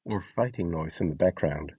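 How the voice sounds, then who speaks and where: A man giving a scripted explainer narration as a host, instructing clearly step by step. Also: low voice, normal speed, distant mic, heavy background noise. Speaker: host and instructor of a voice donation project.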